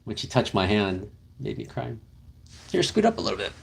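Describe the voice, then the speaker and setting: A man's voice: lowish voice, very animated, fairly quick, creaky, husky. A young man casually chatting on a livestream with his partner, reading viewer comments in a rambling, easygoing way. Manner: rambling, easygoing, many fillers. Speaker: livestream host. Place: car camping, indoors with partner.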